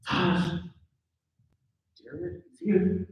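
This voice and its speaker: A fairly high-voiced actor as a dramatic husband, paranoid and suspicious, reading a short film script live. He is heard from a distance in a very echoey room. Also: monotone, extremely slow, dull audio, heavily acted. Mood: exasperated. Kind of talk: live script table read, acted. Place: live indoor table read at a mixer event. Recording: echoey room, picked up from far off.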